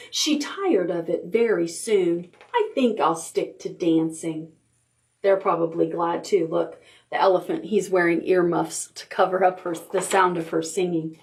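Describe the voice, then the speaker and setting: Unhurried woman reading a picture book aloud. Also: medium pitch. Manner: expressive, rhythmic reading with drawn-out words and chanted sound words. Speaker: children's librarian leading storytime. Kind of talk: read-aloud picture book storytime for preschoolers.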